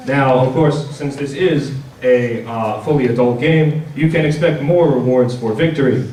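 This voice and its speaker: A man giving an informal presentation at a convention panel, speaking in a halting, stop-start way and repeating words. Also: mid-range voice. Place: large indoor convention panel room. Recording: echoey hall, distant mic, audience noise.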